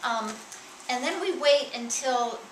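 A woman calmly explains practical growing methods step by step, as a farm stand owner. She is heard from some distance from the mic. The room is echoey, and loud background noise sits behind her. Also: sits high, takes it slowly. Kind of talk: casual explanation to a visitor. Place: inside a small farm stand.

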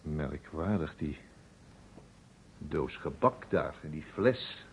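An actor playing a composed, observant amateur sleuth in a radio play, with a measured, dry delivery. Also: male voice, rumbling low, expressive, a little hiss or hum, full character voice. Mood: grave, thoughtful. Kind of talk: Dutch radio drama acting. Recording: tinny, phone-like old radio recording.

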